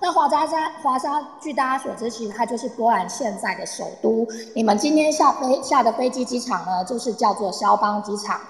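A woman's voice: a little high, lively intonation, fairly quick, hardly any pauses. A woman playing a chatty, eager tour guide who explains patiently and coaxingly in a radio play. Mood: eager, explanatory. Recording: echoey reverberant room sound.

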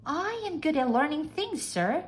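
Woman playing a high, chirpy storybook housekeeper. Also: sing-song, unhurried. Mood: eager, polite. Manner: eager, polite, chirpy. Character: eager, plucky housekeeper woman, polite but doubtful. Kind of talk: storybook character acting.

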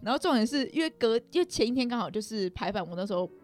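A woman's voice: fairly high pitch, animated, racing, darkish tone, faint music behind. A young woman with a Taiwanese Mandarin accent telling a personal story casually, rambling and often circling back to rephrase.

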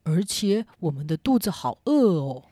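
Anxious lost boy, low-voiced, played by a woman. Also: very animated, normal speed, dark tone, no echo, clean studio sound. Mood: worried. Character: lost, hungry young boy, anxious older brother.

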